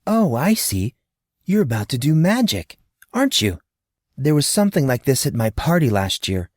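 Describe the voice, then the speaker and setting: A man's voice: mid-range voice, big pitch swings, moderate pace, dry recording, clean studio sound. A man voicing a curious, chatty little girl in a storybook, sweet and wondering. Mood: excited.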